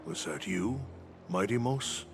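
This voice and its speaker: An actor playing a weary, courteous warrior in a video game, speaking formally and theatrically over music. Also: male voice, medium pitch, slow pace, vocal fry. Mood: curious, measured. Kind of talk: dramatic video game voice acting.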